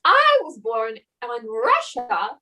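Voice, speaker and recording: A woman's voice: on the high side, huge pitch swings, slow, pushed and bright, heavily acted. A woman voicing a brash, zealous male revolutionary leader, showy in a comic puppet-show skit.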